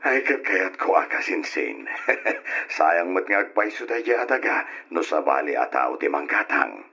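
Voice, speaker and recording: A boisterous Ilocano family man who likes his drink, in old radio drama style. His low, gravelly voice swings widely and takes its time. It comes through a dull, lo-fi radio recording. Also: sing-song, a little slow, bright, forceful tone, exaggerated voice. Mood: sober, reflective. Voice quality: gravelly, husky. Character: hard-drinking husband and father, boisterous and stubborn.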